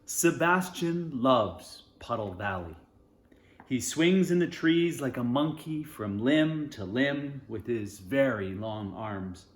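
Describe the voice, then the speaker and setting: A man's voice: medium pitch, lively intonation, takes it slowly, echoey room. A man reading a children's storybook aloud, expressively, in short phrases with informal asides. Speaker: storybook reader.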